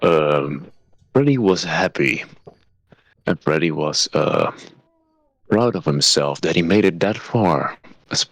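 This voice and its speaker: A man casually narrating a short story, slowly and with hesitations. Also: low-pitched, expressive, no echo. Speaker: streamer narrating his skit.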